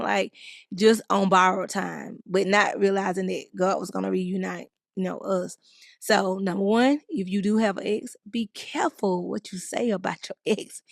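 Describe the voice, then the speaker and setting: A woman's voice: mid-range voice, gravelly, no room sound, studio quality. A woman, co-hosting a podcast and giving chatty, rambling advice full of "you know"s. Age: 40s. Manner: chatty, drawn-out phrasing with many "you know"s. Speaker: podcast co-host. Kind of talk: casual advice chat, reading a listener letter.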